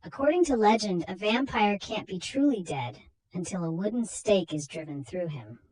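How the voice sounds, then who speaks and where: Woman as a husky, commanding vampire-hunting doctor. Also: mid-range voice, lively intonation, moderate pace, darkish tone, poor audio. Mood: grave explaining. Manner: emphatic, declamatory. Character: commanding male doctor, vampire hunter, urgent and knowing.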